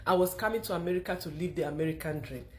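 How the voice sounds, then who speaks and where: A middle-aged woman with a Cameroonian accent gives a motivational testimonial pitch, speaking emphatically with repeated phrases. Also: rapid-fire, slight background noise. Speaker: online marketer telling her own story.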